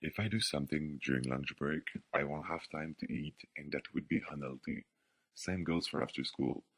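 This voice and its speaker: A young male student with an extremely deep voice takes part in a scripted radio debate. He words his view formally, recorded dry and without room sound. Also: normal speed, a bit bright, breathy edge, no room sound. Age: young adult.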